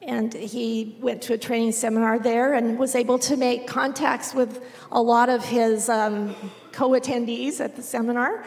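Woman with gravelly voice in reverberant hall. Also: a little high, little pitch movement, darkish tone. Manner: unhurried, with frequent "um" pauses. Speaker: missionary reporting to a church. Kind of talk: missionary report to a congregation. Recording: long reverb of a large hall.

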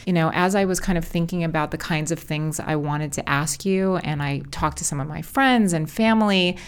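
A woman from Los Angeles hosts a casual interview. Her voice sits quite low, and she talks in a loose, chatty way with plenty of fillers. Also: moderate pace, clean tone. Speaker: podcast host.

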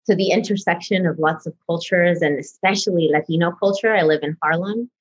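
Young woman, slightly low, muffled line. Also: a little fast, slightly rough. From Mexico, raised in the US. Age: 20s. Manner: friendly, filler-heavy, uses "right?". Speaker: guest consultant. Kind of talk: casual panel conversation.

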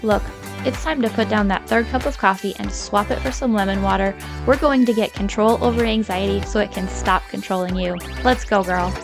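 A woman in her thirties hosts her own podcast, speaking sincerely and encouragingly to the listener. Her voice is scratchy and a bit fried from lack of sleep, with a creaky edge at the bottom of her phrases. A faint music bed plays beneath her. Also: medium pitch, a little fast. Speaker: podcast host. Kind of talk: podcast monologue.

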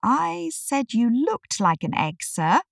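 A man voicing a polite, gentle, curious young girl in a storybook, earnest and questioning. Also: sits high, big pitch swings, normal speed, dry recording, clean studio sound, recorded from a distance, voice pushed up. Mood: gently placating. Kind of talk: storybook character reading.

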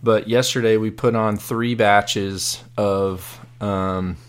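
Brief: hoarse, fairly low-voiced male podcast host, loose rambling chat full of fillers. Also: steady tone, takes it slowly. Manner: rambling, many fillers and restarts. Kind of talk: casual live podcast chat.

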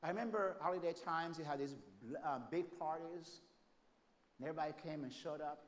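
A man with a Jamaican accent preaching a sermon, moving between loud, emphatic declarations and soft, hushed storytelling. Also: on the high side, fairly quick. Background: congregation calling out amens.

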